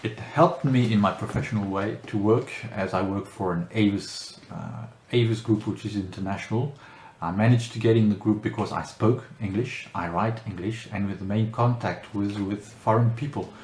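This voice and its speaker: A man's voice: fairly low pitch, normal speed, darkish tone. A middle-aged man from France answering interview questions, talking hesitantly with many fillers and restarts. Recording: roomy echo, faint background noise.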